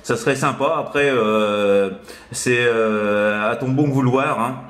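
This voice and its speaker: A man's voice: medium pitch, takes it slowly, fairly bright tone. Roomy-sounding man chatting on amateur radio. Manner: hesitant, tags sentences with "hein". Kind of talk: casual radio contact chat. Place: indoors in an apartment, at a radio set.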